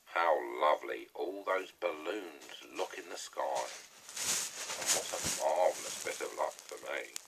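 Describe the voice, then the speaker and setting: A man voicing a nasty, scheming old husband in a children's storybook, slow and gloating. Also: a bass voice, lively intonation, very noisy, full character voice. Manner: slow, gloating, mock-concerned.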